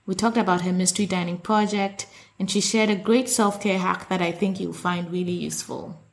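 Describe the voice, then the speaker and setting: A woman hosting a food podcast, reading a scripted intro smoothly and then chatting with her guest. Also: on the low side, steady tone, brisk. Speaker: podcast host. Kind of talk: scripted intro and casual interview.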